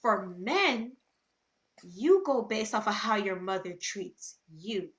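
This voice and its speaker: A woman, co-hosting a podcast in lively casual chat. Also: medium pitch. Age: 20s. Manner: animated storytelling, quick asides, laughs often. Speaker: podcast co-host.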